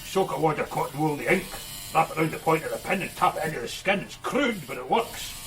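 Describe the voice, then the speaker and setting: A man's voice: fairly high pitch, animated, quick pace, fairly bright tone, a little hiss or hum. An actor playing a blunt, matter-of-fact male tattoo artist with a Glasgow accent. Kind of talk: radio drama acting.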